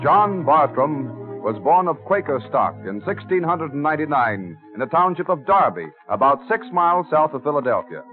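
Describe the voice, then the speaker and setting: A man's voice: on the high side, sing-song, moderate pace, hardly any pauses. A man giving scripted narration as the narrator of an old-time radio drama. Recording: tinny old radio recording. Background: music.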